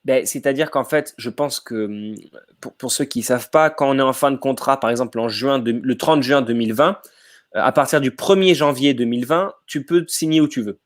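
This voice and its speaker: A man's voice: mid-range voice, fairly quick. Guy on a podcast rambling casually, lots of euh and restarts, regular voice. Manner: hesitant, thinks aloud with many fillers and restarts. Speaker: podcast panelist.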